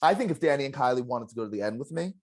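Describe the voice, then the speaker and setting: A former game contestant in a casual exit interview, telling stories in an animated, self-deprecating way with a loud voice. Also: mid-range voice, racing. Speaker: eliminated contestant, juror.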